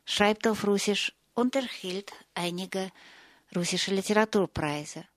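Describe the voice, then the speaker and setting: A woman reading scripted narration for a radio feature in a measured, even way. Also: mid-range voice, no room sound.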